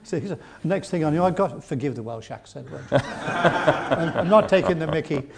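Older man, gravelly voice, fairly high pitch; rambling, chuckling interview guest. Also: moderate pace, crowd noise. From England. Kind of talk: storytelling interview.

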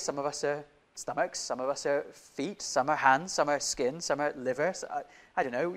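A man who grew up in Indonesia, preaching a sermon in a conversational way, often putting questions to his listeners. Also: on the high side.

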